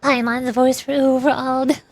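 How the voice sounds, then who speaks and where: A woman's voice: high voice, little pitch movement, a little slow, darkish tone, dry recording. A woman streaming a game, giving casual, rambling commentary as she thinks aloud. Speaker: streamer, VTuber.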